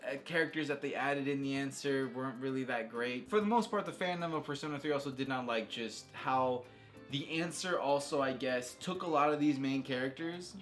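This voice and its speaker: Enthusiastic casual man over background music. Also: mid-range voice. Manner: enthusiastic, informal and slangy. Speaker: video game reviewer and host. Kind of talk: casual rambling game commentary.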